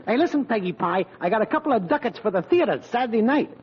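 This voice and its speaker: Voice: medium pitch, lively intonation, quick pace, husky. A performer playing a cocky, teasing teenage boy, smug and slangy, in old-time radio comedy style. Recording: dull, lo-fi vintage radio recording.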